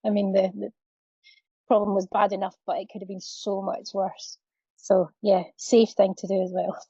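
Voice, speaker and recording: A woman's voice: mid-range voice, flat delivery, normal speed, dark tone, slightly rough, no room sound, highs cut off. A woman co-presenting a webinar, telling a wry anecdote.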